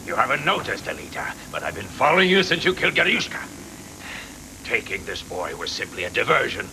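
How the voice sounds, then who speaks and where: A man's voice: sing-song, a little fast. A man voicing a ruthless, gloating hunter-warrior villain in an anime dub, taunting in formal, theatrical phrases. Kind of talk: dubbed anime voice acting. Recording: noisy old VHS tape, rumbling effects.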